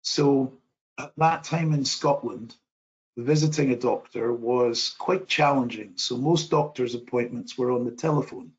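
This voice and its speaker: A man's voice: mid-range voice, muffled recording. Modest middle-aged man presenting a webinar. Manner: modest, measured, with frequent restarts. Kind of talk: online presentation.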